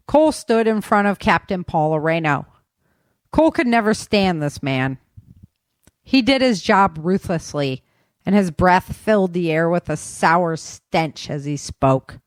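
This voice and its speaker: A woman narrates scripted fiction in a fairly low voice. The recording is close and dry, with very little room around the words. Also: no room sound. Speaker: author narrating audio fiction.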